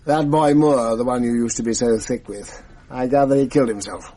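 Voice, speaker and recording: An actor as a genial old retired schoolmaster. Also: male voice, medium pitch, normal speed, mellow, close to an everyday voice. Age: older. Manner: genial, chatty, old-fashioned. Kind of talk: 1980s radio drama acting.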